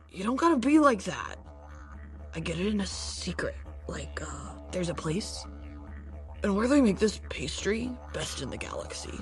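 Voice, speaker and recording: Woman playing a slow-talking, sly laid-back vendor. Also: mid-range voice. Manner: hesitant, slangy, confiding drawn-out talk. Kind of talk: science fiction audio comedy drama.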